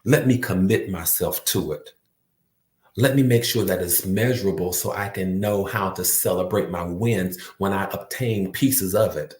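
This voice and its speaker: A man's voice: deep voice, normal speed, darkish tone, reverberant. A man giving a motivational talk with a repetitive, preacher-like cadence. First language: not French. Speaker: motivational speaker.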